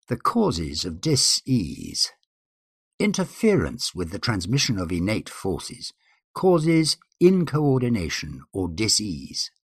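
A man giving a formal scripted reading of numbered statements in a deliberate, declamatory way with measured phrasing. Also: sits low, creaky, slightly rough, no echo, studio quality. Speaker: guest reader.

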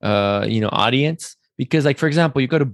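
A man with a mid-pitched voice hosts an interview podcast in a close, dry recording. He is chatty and playful, feeling his way through questions in a loose, rambling style. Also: fast, dry recording.

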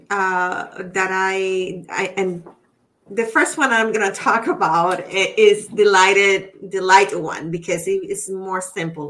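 A woman's voice: medium pitch, fairly bright tone. A middle-aged woman from Puerto Rico with a Spanish accent, answering as an interview guest in an earnest, reflective way and often searching for her words. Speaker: podcast guest, real estate professional. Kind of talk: casual interview conversation.

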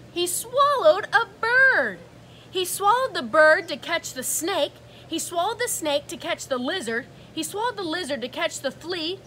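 A woman reading a rhythmic picture book aloud to children like a teacher, animated and sing-song, with asides to her listeners. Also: very high-pitched, a little hiss or hum. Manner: animated, sing-song, drawn-out exclamations and gasps; engaging listeners with asides and prompts. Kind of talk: rhythmic storybook read-aloud.

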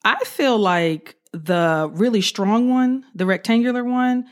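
A woman police officer telling an animated story in an interview, acting out what she said at the time. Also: mid-range voice, slow pace. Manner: animated, acts out her own quotes.

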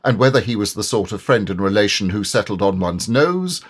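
A man's voice: on the low side, lively intonation, fairly quick. A man narrating a children's story expressively, as an audiobook reader.

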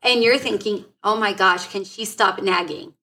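A woman's voice: medium pitch, normal speed, pushed and bright. A woman co-hosting a casual podcast, chatty and laughing often, restarting her sentences as she thinks aloud. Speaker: podcast co-host.

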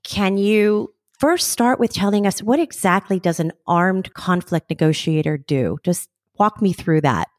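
A woman's voice: medium pitch, lively intonation, measured pace, hardly any pauses, no room sound, studio quality. A woman hosting an interview podcast, asking enthusiastic, complimentary questions. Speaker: podcast host.

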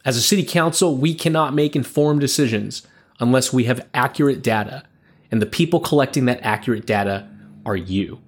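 A man's voice: medium pitch, fairly quick, breathy edge. A man speaking as a mayor in a prepared, pre-recorded address to volunteers. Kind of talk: prepared thank-you address. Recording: pre-recorded message played back.

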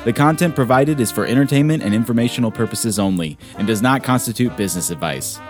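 Male announcer over soft guitar. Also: medium pitch, expressive, fast. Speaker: show announcer. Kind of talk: scripted intro and disclaimer. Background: soft guitar music.

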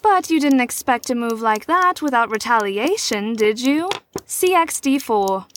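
Audio drama mentor: woman with a notably high voice, formal and measured, poised and gently probing. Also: expressive, slight background noise. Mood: dramatic, musing. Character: poised, probing female mentor and chess player. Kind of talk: scripted audio drama acting.

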